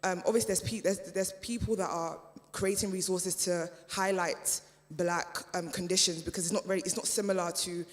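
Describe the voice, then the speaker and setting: A woman who grew up speaking German gives a considered panel answer, pausing often on fillers. Her tone stays level and even throughout. The sound carries a long reverberant tail from a church hall. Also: lowish voice. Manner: measured, hesitant, many fillers.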